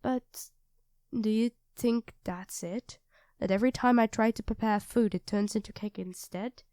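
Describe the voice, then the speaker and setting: A woman's voice: mid-range voice, a little mellow, no room sound, studio quality, natural voice. A young woman playing an affectionate, easily flustered girlfriend in an ASMR roleplay, speaking expressively and intimately to her partner. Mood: calmer, resigned. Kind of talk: ASMR roleplay acting addressed to the listener.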